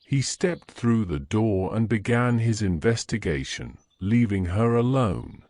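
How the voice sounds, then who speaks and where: A man's voice: fairly low pitch, lively intonation, unhurried, a little mellow, no room sound, clean studio sound. A man narrating a scripted fantasy story as its storyteller.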